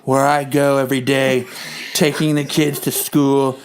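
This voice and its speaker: A boastful, nagging wife in a comic-book parody, acted out by a man. The voice drops low and leans hard on drawn-out superlatives, like a bombastic politician on the stump. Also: little pitch movement, natural voice, low-quality recording. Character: boastful nagging wife, spoken as a Donald Trump-style parody. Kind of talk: comic dramatic reading.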